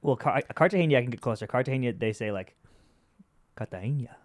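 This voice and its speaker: A young man co-hosting a hockey podcast, chatting in a loose, joking, rambling way on a studio boom mic. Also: animated, quick pace, dry recording. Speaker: podcast co-host. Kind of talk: casual podcast chat. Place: studio with wood walls. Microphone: boom-arm podcast mic.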